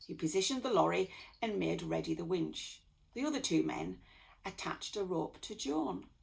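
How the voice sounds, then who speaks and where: A woman storyteller reading a children's bedtime story aloud in an expressive manner. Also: fairly low pitch, moderate pace, gravelly, poor audio. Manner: expressive, with acted dialogue.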